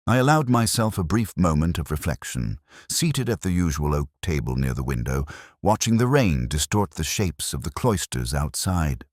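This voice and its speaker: Very deep-voiced man narrating a mystery. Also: no room sound, clean studio sound. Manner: measured, reflective, literary delivery. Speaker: audiobook-style story narrator. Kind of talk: scripted first-person detective story narration.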